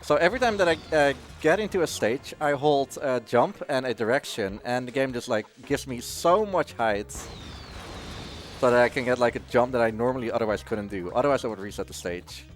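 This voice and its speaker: A man giving casual live commentary on his own speedrun, explaining in a halting way and often interrupting himself. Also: mid-range voice. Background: video game music.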